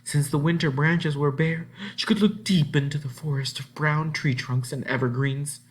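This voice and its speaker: A man's voice: a little high, fairly even intonation, moderate pace. Man reading a children's novel dramatically. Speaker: read-aloud narrator. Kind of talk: expressive children's book narration.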